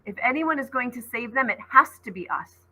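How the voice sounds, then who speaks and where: A woman's voice: on the high side, expressive, normal speed, bright, forceful tone, pitched above the performer's own voice, low-quality recording. A woman voicing a grumpy but brave girl who speaks firmly and matter-of-factly. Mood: determined. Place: classroom.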